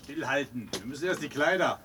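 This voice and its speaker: A man's voice: mid-range voice, animated, a little fast, noisy background, lightly acted. An actor playing a curt military man who gives commands in a radio drama. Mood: stern, impatient. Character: curt male military figure barking orders at prisoner.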